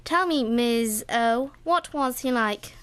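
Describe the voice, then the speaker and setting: Young woman as high-voiced roving radio reporter. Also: slow pace, clean tone. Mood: earnest reporting. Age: young adult. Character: chirpy male roving reporter sent back in time. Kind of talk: comic radio play.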